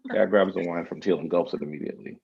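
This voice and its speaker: A man with a gravelly, husky voice reading scripted stage directions, on slightly dull audio. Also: deep voice, fairly even intonation, quick pace. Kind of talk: scripted narration.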